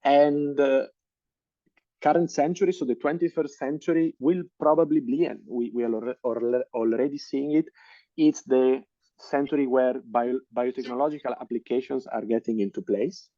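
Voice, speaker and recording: A man in his thirties with an Italian accent lectures over a video call. His tone is mellow and somewhat dark. He hesitates often and restarts sentences. The sound is dry and a little muffled. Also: mid-range voice, fairly even intonation, normal speed, no room sound. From Italy. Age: 30s. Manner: hesitant, many fillers and restarts. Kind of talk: online lecture.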